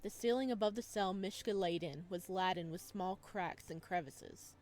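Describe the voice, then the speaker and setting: A woman hosting a visual novel let's play, playful and expressive as she chats and reads aloud. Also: on the low side, moderate pace. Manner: playful, expressive reactions, addresses viewers. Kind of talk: visual novel playthrough, chatty commentary and read-aloud narration.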